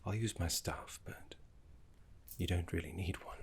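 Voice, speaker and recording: A man voicing a gentle, erudite necromancer teacher in a fantasy ASMR roleplay, speaking in a breathy half-whisper with refined, reassuring deliberation. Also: sits low, fairly even intonation, a little slow. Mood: soothing, encouraging.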